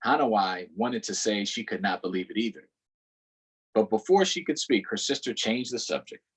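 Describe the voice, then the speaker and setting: A man reading a picture book aloud to children in an unhurried way, pausing for short explanatory asides. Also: lowish voice, expressive, slightly rough, low-quality recording. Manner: unhurried, adds explanatory asides to young listeners. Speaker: storytime reader.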